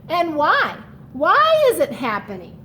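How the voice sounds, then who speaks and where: A woman teacher leading a children's read-aloud lesson, speaking in a deliberate, instructional way and repeating key words. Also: sits high, huge pitch swings, pushed and bright, slight background noise.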